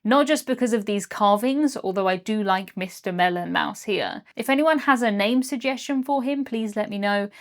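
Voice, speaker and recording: A young woman with a British accent talks through her travels in an upbeat, chatty voice-over. Her pitch sits right in the middle for a woman, and she drops in small jokes as she goes. The delivery is clearly scripted. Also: moderate pace, few pauses. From Britain. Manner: upbeat, chatty, lightly jokey. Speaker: cruise vlogger. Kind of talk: scripted travel vlog narration.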